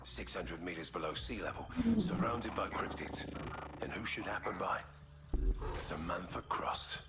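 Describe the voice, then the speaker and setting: A man's voice: sits low, measured pace, very noisy. A man playing a bitter, sardonic and threatening soldier in a video game cutscene. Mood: brooding. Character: menacing, sardonic male soldier, bitter and vengeful. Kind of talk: dramatic video game narration and dialogue. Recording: loud music behind the voice.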